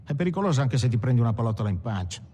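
An actor playing a militant gangster who coolly gives first-person testimony in measured, clipped, emphatic short phrases. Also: male voice, medium pitch, sing-song, natural voice. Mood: reflective. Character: militant gangster coolly recalling armed action. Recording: faint background noise and ambience.

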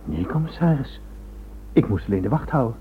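Man playing dutiful young-rank cop in old radio play, quick talker, very respectful, thin sound. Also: medium pitch, animated, lightly acted. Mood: deferential. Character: dutiful, deferential junior policeman reporting to his boss. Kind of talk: Dutch radio drama acting. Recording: noisy, tinny old radio recording with hum.

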